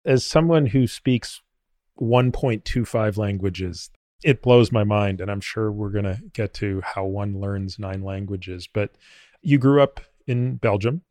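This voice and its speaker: Low-voiced man hosting an interview podcast. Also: unhurried, mellow, dry recording, studio quality.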